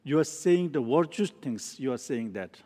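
A man from Nepal, a teacher, giving a spoken teaching in slow, halting English full of restarts. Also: medium pitch, animated, mellow. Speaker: Tibetan Buddhist teacher. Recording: long hall reverb.

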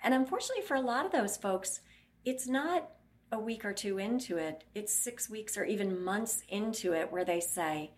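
A woman's voice: medium pitch, lively intonation, moderate pace. A woman voice clinician answering interview questions bluntly, explaining at length and acting out what her patients say.

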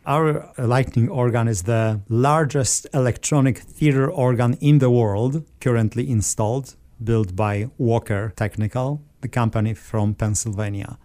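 A man from Poland with a Polish accent, a guest organist calmly explaining things in a radio interview. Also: medium pitch, measured pace, hardly any pauses.

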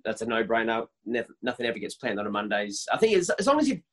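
A man in his thirties with a higher voice, a worship leader talking casually and eagerly in an interview, often restarting his sentences. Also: sing-song, fast. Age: 30s. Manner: enthusiastic, many restarts and repeated words.

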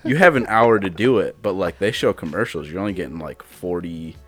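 A man with a very deep voice co-hosts a laid-back comedy podcast. He drops dry, deadpan remarks into the chatter. Low music hums faintly behind him. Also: brisk. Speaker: podcast co-host. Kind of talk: casual podcast banter.

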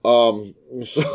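Guy with a smoky voice hosting a podcast, swears a lot, animated and joking. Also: mid-range voice. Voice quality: smoker's voice. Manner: swears freely, animated storytelling. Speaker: podcast host. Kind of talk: casual podcast chat.

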